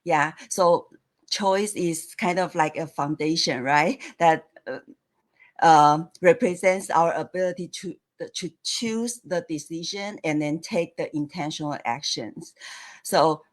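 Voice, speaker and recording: A woman guest in a casual online chat, speaking hesitantly with frequent small laughs. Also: sits low. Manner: slow, hesitant, laughs often. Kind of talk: casual live chat interview. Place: lived and worked in the United States.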